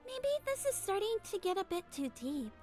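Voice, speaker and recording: A woman voicing a small, gentle, earnest girlish head nurse in a game fan dub, sweet, polite and measured. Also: very high voice, big pitch swings. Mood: weary, thoughtful. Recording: music behind voice.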